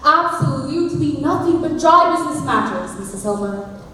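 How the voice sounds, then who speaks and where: A woman takes the part of a businesslike male lawyer on stage, formal and evasive. The high voice sounds distant in a very echoey, noisy hall. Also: expressive, normal speed, pushed and bright. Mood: guarded. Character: businesslike male bank clerk and lawyer, dry, guarded. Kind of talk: school stage play acting. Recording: echoey hall, distant mic, clear background noise.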